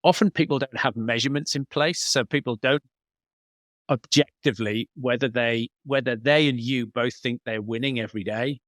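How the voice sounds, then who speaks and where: A man's voice: mid-range voice, moderate pace, fairly bright tone, dry recording, studio quality. A man giving explanatory, conversational answers as a business coach in an interview, working step by step through examples. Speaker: business coach, podcast guest.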